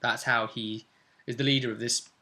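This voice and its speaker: A man's voice: mid-range voice, little pitch movement, moderate pace, sharp tone. A man, a game master casually recounting a tabletop role-playing session in a chatty storytelling way. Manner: chatty, conversational storytelling with tag questions.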